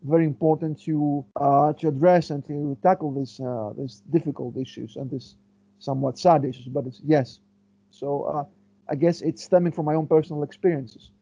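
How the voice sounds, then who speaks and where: A man's voice: a little high, steady tone, normal speed, warm tone, breathy edge, no echo, lo-fi sound. A man from Greece, a historian answering interview questions thoughtfully, with many fillers and restarts as he searches for words. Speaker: guest historian.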